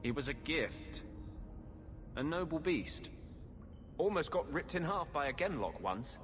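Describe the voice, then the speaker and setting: A man's voice: on the high side, very animated, measured pace. A man playing a bitter, protective runaway mage healer in a video game cutscene, earnest and dramatic with a dry humor. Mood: bitter, wry. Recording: game music behind the voice.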